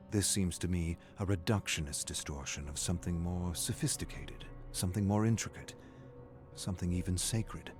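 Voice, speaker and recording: An actor playing an erudite, morbid prisoner narrating his journal in a video game, formal and measured. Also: deep voice, a little mellow. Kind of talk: scripted dramatic game narration.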